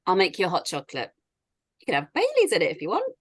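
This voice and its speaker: A woman's voice: lowish voice, huge pitch swings, fast, slightly rough, heavily acted. A woman reading the part of a defiant, sarcastic middle-aged daughter in a drama script, with a dismissive manner. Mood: dismissive, mocking. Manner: sardonic, dismissive. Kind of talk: script read-through.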